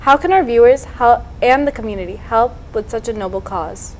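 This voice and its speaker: A woman's voice: a little high, normal speed, slight background noise, low-quality recording. A woman hosting a local TV interview show, upbeat and full of praise for her guest. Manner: upbeat, complimentary. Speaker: TV show host.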